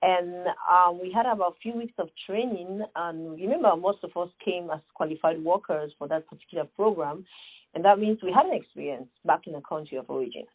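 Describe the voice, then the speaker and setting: A middle-aged woman with a Sierra Leonean accent speaks as an interview guest, emphatic and earnest. Her voice sits low and breathy. The sound is thin and phone-like. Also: a little fast. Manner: earnest, emphatic, many fillers and rhetorical questions. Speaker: guest, nonprofit founder. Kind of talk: conversational interview answer.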